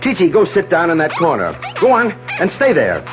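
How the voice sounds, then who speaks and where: An actor playing an authoritative, controlled doctor in a 1970s radio mystery, with a commanding, deliberate manner. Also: male voice, a little high, huge pitch swings. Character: authoritative, controlled male doctor managing a crisis. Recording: dull 1970s radio broadcast with music.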